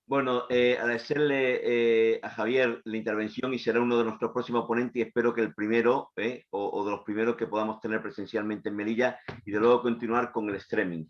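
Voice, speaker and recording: A man's voice: mid-range voice, fairly even intonation, brisk, hardly any pauses. A man hosting and moderating an online event informally. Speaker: host, moderator. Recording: dull lo-fi online stream.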